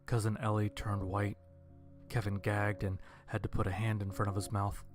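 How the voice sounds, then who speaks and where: A man's voice: fairly low pitch, flat delivery, normal speed, over music. A man narrating a scripted first-person horror-comedy story in a dry, wry manner.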